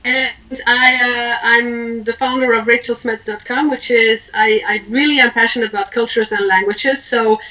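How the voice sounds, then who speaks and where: Woman from Belgium, expat coach being interviewed. High, very bright voice, earnest and emphatic delivery. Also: fairly even intonation, normal speed, hardly any pauses, far from the mic, rough recording. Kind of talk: informal interview talk giving advice.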